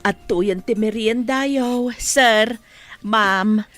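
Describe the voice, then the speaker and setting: Respectful household helper. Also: female voice, a little high, drawn-out words, a bit bright, soft background music. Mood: attentive, courteous. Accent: Ilocano. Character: attentive, respectful household maid nanny. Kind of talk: Ilocano television drama acting.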